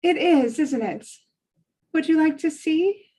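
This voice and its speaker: A woman's voice: sits high, expressive, takes it slowly, warm tone. A woman playing a kindly, apologetic, welcoming church woman in a dramatic script reading. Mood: gentle, inviting.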